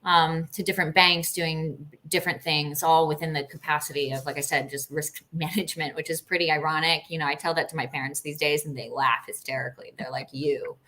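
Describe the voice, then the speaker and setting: A middle-aged woman from Costa Rica telling stories casually as an interview guest, with an informal, animated manner. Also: sits low, a little fast, few pauses, rough recording. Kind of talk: casual conversational storytelling.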